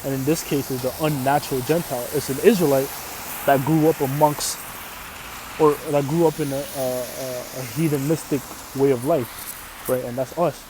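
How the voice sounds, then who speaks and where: A man's voice: medium pitch, fairly even intonation, normal speed, noisy background. A man from Canada whose first language is Arabic, teaching scripture informally and often checking in with "right?". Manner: casual, repeats "right?" often, restarts phrases. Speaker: religious teacher.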